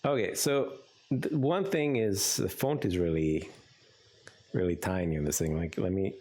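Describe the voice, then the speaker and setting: Man, greek accent, very low voice, rambling streamer, lots of ums, close desk mic. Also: a little slow, darkish tone. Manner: rambling, thinks aloud with many fillers. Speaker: game streamer. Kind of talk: casual live-stream commentary.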